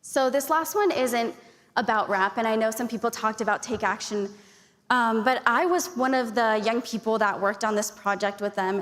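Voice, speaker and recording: Young woman, from Arizona, earnest, chatty, long reverb of a conference hall. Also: a little high. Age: young adult. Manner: earnest, grateful, chatty with many likes and fillers. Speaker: youth panelist. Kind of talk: conference speech.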